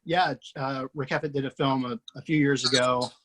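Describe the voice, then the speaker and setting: This is a relaxed man leading a video call, with an easygoing manner and frequent laughter. His pitch sits right in the middle. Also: moderate pace, rough recording. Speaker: host of a table read. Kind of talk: casual video-call chat.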